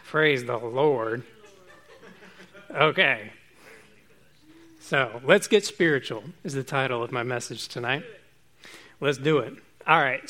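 A man preaching a relaxed, informal sermon to his congregation, chatty and self-joking. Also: on the high side.

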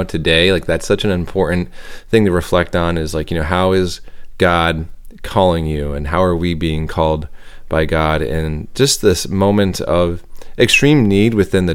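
A man's voice: deep voice, moderate pace, smooth. A young man co-hosting a faith podcast, talking in an earnest, reflective way as he searches for his words. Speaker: podcast co-host and storyteller. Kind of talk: casual podcast chat.

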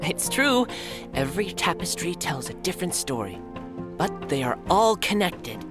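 Casting: woman voicing a gentle guide, softly lilting storyteller, lively pitch swings, music bed. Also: normal speed. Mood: storytelling. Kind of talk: children's audio drama.

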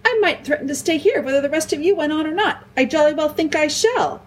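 Lady putting on a bossy big sister, snappy and scolding, pitched higher. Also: expressive, fast talker. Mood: defiant. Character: bossy sharp-tongued older sister.

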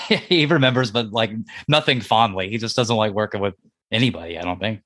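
A man's voice: on the low side, fairly quick, fairly bright tone, no room sound. A man hosting a casual interview, laid-back and laughing easily as he asks long questions. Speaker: podcast host.

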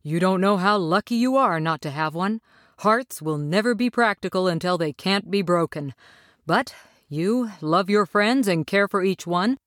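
A woman's voice: fairly low pitch, no echo, studio quality, close to an everyday voice. A woman voicing a kindly, sheepish little old man, a fraudulent storybook wizard who speaks apologetically. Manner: stuttering, sorrowful.

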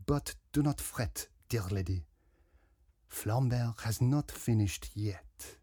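A man voicing a pompous, dapper little detective with a French accent, theatrical and deliberate, in a mystery story. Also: medium pitch, mellow, husky, no room sound, studio quality. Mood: grandly accusing. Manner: pompous, self-regarding, deliberate.

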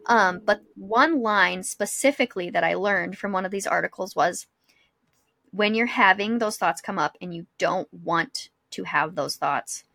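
A woman's voice: mid-range voice, creaky, fairly bright tone, no room sound. A young adult woman co-hosting a podcast, talking casually and reflectively in a candid, personal way. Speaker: podcast co-host.

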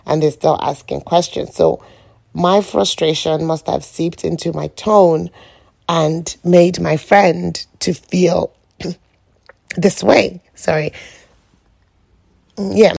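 A middle-aged woman with a croaky morning voice, speaking in a rambling, reflective way on an informal solo podcast. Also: fairly low pitch, steady tone, slow, long pauses, darkish tone. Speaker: podcast host.

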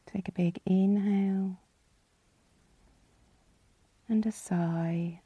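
A woman leading a guided yoga nidra relaxation as the guide, calm and soothing, with a soft, breathy, husky voice. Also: medium pitch, slow, dry recording, highs cut off. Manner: calm, soothing, gently instructing.

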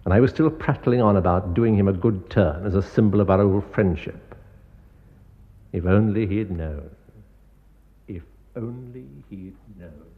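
An actor playing a coolly scheming English gentleman surgeon in a radio drama, confiding and deliberate. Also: low-pitched, slightly rough, narrow, tinny audio. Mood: coldly gloating.

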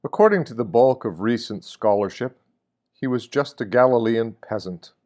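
A man reading a scripted, reflective meditation with measured, deliberate phrasing and pauses. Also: mid-range voice, darkish tone. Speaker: reader of meditations.

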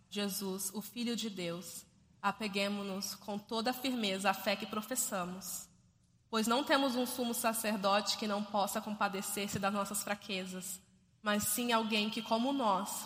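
A woman from Brazil with a Brazilian Portuguese accent, reading her testimony and a scripture passage at church in a careful, measured way. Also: medium pitch, fairly bright tone. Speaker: church member giving a testimony. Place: church sanctuary. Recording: reverberant church hall.

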